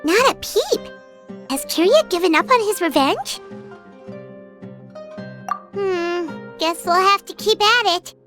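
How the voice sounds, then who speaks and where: A woman voicing a tiny, chatty floating fairy companion in a video game, squeaky and childlike, referring to herself in the third person. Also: animated, slow, long pauses, exaggerated voice. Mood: watchful. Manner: bouncy, talks about herself in third person. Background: soft game music.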